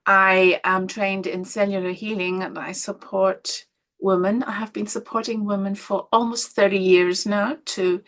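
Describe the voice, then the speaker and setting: Gentle Irish woman hosting a webinar. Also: medium pitch, little pitch movement, measured pace. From Ireland. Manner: gentle, encouraging, drops to soft asides. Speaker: webinar host and women's coach. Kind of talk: live webinar talk to participants.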